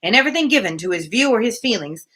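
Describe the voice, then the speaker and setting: A woman voices a blunt, sly gentleman from a classic novel, grumbling and formal. The delivery is bright and very animated, with opinionated, emphatic phrasing. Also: a little high, fast, husky, heavily acted. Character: grumpy, blunt, sly gentleman brother-in-law.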